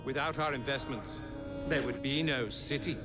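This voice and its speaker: An actor playing a contemptuous, grandiose Victorian financier with a scornful manner. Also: male voice, mid-range voice, normal speed, close to an everyday voice. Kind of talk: video game cutscene acting. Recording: loud music over the voice.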